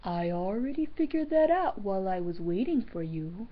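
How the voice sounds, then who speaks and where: A gentle, lonely brontosaurus from a storybook, read by a woman. He talks softly and kindly in measured phrases, on a slightly dull recording. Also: mid-range voice, big pitch swings. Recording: dull lo-fi sound.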